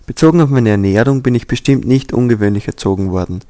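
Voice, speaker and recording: A man reading a translated nonfiction book aloud in a calm, measured, earnest way. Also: medium pitch. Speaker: translator and audiobook reader. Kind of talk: scripted audiobook reading.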